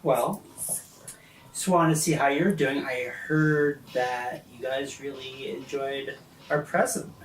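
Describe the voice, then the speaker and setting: A man playing a firm, bargaining high school student in a tabletop game, recorded off-mic in a roomy space. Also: steady tone, slow, distant mic, lightly acted. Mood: cautious bargaining. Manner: firm, negotiating. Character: determined high school kid bargaining with a bully. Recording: roomy, off-mic, faint background noise.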